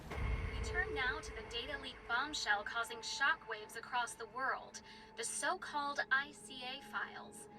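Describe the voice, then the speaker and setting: High-voiced female news anchor. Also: unhurried, over music. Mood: urgent, formal. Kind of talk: broadcast news delivery in a game.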